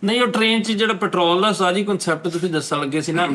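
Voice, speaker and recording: A man's voice: high voice, fast talker, fairly bright tone. A man with a Pakistani Punjabi accent chatting casually as a panelist on a comedy show. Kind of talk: casual group banter.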